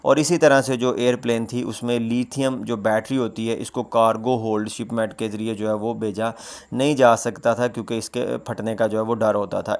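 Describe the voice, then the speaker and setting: A man's voice: lowish voice, a little mellow. Man explaining the news. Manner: conversational, repeats filler phrases habitually. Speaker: news commentator, presenter. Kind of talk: explanatory news commentary, semi-casual.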